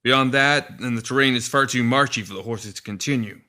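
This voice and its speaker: A man's voice: medium pitch, brisk, pushed and bright, voice pushed up, close to an everyday voice. A man voicing a polite, deferential, plain-spoken coachman in a fantasy audiobook. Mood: deferential, cautionary.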